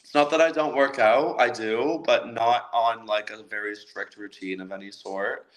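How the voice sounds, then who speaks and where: A man's voice: mid-range voice, fairly even intonation, normal speed, poor audio. A Canadian man chatting casually as a guest, easygoing and laughing lightly now and then. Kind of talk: casual interview chat.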